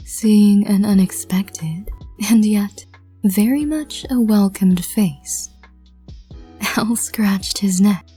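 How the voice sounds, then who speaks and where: A woman narrates softly in a breathy, whispery voice with a mellow tone. She takes her time, and faint music plays behind her. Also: mid-range voice, takes it slowly. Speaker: narrator and channel host. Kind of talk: soft scripted roleplay narration.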